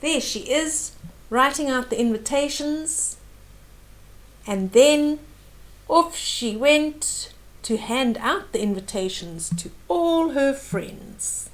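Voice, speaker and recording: A woman reading a children's story aloud with animated, dramatic emphasis and explanatory asides. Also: high-pitched, slow, rough recording.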